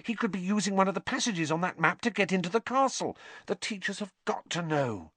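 A man voicing a teenage schoolgirl witch who is anxious and bossy, speaking in a high, fretful way. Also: wildly swooping pitch, a little slow, fairly bright tone, husky, dry recording, full character voice.

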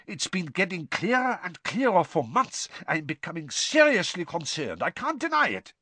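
A nervous middle-aged headmaster speaking in a hushed, worried way, as a man puts him on. The voice sits higher than the reader's own and has a changed timbre. The recording is dry. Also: big pitch swings, moderate pace, no echo, full character voice. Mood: anxious. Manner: anxious, hushed.

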